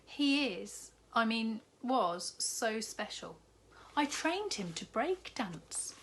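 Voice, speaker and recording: A woman's voice: a little high, very animated, slow pace, a bit bright, a little hiss or hum, voice pushed up, exaggerated voice. A woman voicing a sad, hungry young schoolgirl who speaks sheepishly and mumbles.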